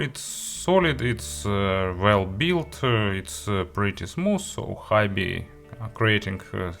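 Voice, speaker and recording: A man reviewing a product in an unscripted walkthrough, speaking haltingly and stumbling over words, with music playing behind him. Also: on the low side, fairly bright tone. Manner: halting, hesitant delivery with stumbles.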